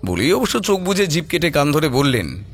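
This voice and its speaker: A man's voice: on the high side, sing-song, brisk. A man narrating a scripted horror story in a dramatic storytelling style.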